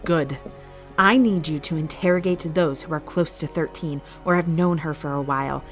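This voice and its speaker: A woman's voice: sits low, normal speed, lightly acted. A woman acting as a calculating, ruthless fight-arena master in an audio drama, speaking in a formal, clipped, authoritative way. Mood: cold, commanding. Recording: music bed under the voice.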